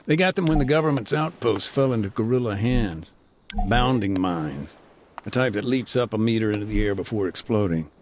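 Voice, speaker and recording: A middle-aged man voicing a frank former combat engineer turned demining worker, measured and matter-of-fact in a game cutscene. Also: lowish voice, animated, slight background noise, lightly acted. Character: weary male ex-soldier turned deminer, frank. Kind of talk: voiced game cutscene dialogue.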